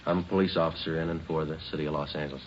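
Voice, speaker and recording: An actor playing a terse, sober American police detective who narrates in a clipped, formal way in a 1940s radio drama. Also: male voice, extremely low pitch, flat delivery, racing, a little hiss or hum. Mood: formal, deadpan. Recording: muffled old 1940s radio, music behind.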